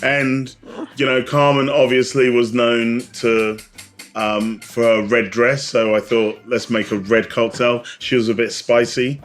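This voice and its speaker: A man's voice: mid-range voice, level tone, a little slow. A man speaking casually as an expert guest in a podcast interview.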